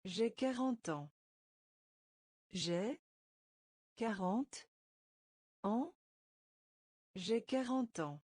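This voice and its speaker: Woman language teacher, very slow and deliberate, breaks phrases into words then says them whole; dry recording. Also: fairly high pitch, animated, a little mellow, slightly rough, dry recording. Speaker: French teacher. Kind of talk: slow phrase-by-phrase pronunciation drill.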